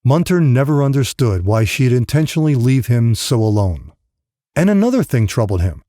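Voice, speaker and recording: A man's voice: mid-range voice, very animated, moderate pace, warm tone, no echo, studio quality. A man reading a grim novel as scripted audiobook narration.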